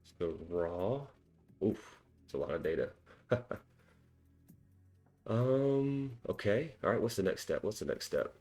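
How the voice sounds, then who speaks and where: A man's voice: lowish voice, sing-song, a little slow, pauses often, a little mellow, faint music behind. A man hosting a cybersecurity walkthrough, thinking aloud in a casual, self-deprecating way with frequent ums and small laughs. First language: English. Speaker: cybersecurity tutorial host.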